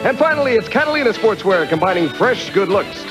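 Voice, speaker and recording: Male prize announcer, high voice, slick scripted sell over music. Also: big pitch swings, brisk. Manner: polished salesman patter. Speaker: game show announcer.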